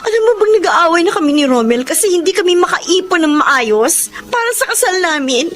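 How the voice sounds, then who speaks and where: Actress: tired young breadwinner daughter, high and animated, scolding to tearful. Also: female voice, a little fast, over music. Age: young adult. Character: weary young woman, family breadwinner. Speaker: daughter who supports her family. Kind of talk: TV-style family drama acting.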